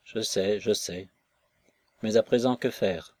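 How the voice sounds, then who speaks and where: Remorseful nobleman begging, earnest, low voice, guy reading it. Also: takes it slowly, darkish tone, dry recording. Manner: earnest, pleading.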